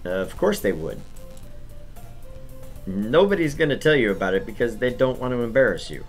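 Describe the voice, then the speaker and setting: A man's voice: mid-range voice, big pitch swings, moderate pace, faint music behind. A man voicing an exasperated, easily flustered teenage boy who scolds and stammers in a visual novel. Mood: scolding. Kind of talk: visual novel read-aloud.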